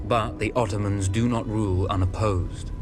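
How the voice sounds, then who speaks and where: A man narrating a game's war story in a grave, measured storyteller's manner. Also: fairly low pitch, steady tone, close to an everyday voice. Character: solemn male storyteller introducing a wartime desert tale. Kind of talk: scripted documentary-like narration. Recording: loud music under the voice. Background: loud music.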